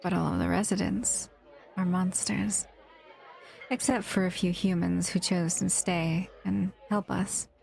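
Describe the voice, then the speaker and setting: Slow-paced, wistful protective monster woman played by an actress. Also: fairly low pitch, creaky, a little mellow. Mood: warm, content. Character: female monster, protective escaped lab captive, warm and wistful. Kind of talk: audio roleplay acting addressed to the listener.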